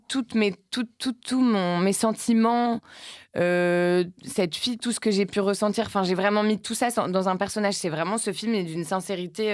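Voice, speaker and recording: A woman's voice: mid-range voice, dry recording. Woman, late 30s, actress-producer type, loose rambling interview talk, dry sound. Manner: rambling, many restarts and fillers.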